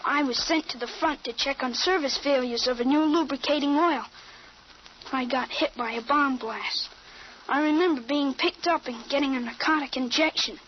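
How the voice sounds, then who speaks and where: A woman's voice: high-pitched, normal speed, close to an everyday voice. A woman playing a precise thirteen-year-old American boy with a grown-up mind in an old radio drama, speaking in an articulate, matter-of-fact way. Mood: earnest, matter-of-fact. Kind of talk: 1940s-50s radio drama acting. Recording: muffled, noisy old radio recording.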